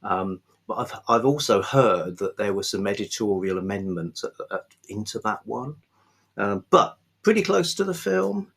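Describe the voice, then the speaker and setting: Low-voiced man rambling casually about books. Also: expressive, creaky, a bit bright, breathy edge. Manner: rambling, halting, pausing mid-phrase. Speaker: host of a book review vlog. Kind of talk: casual unscripted book chat.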